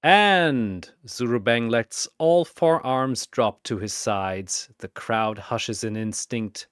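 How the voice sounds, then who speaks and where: Slow, dramatic male narrator with resonant voice. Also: medium pitch, fairly bright tone, clear, resonant tone, dry recording, clean studio sound. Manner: theatrical, deliberate. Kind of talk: dramatic scripted fantasy narration.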